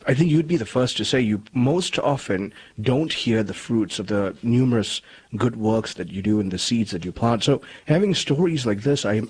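A man hosting an interview show, introducing his guest in a polite, measured way. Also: lowish voice, darkish tone, husky. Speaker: host.

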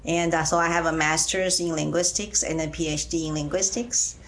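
A middle-aged woman from Taiwan with a Taiwanese accent, answering interview questions conversationally and haltingly, often pausing mid-phrase. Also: sits low, steady tone. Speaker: interview guest, teacher. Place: radio studio.

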